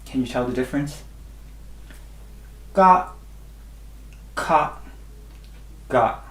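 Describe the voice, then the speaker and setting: A man's voice: mid-range voice, lively intonation, drawn-out words, roomy sound. A man whose first language is Burmese, teaching a language lesson and patiently repeating each sound three times. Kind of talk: slow instructional lesson.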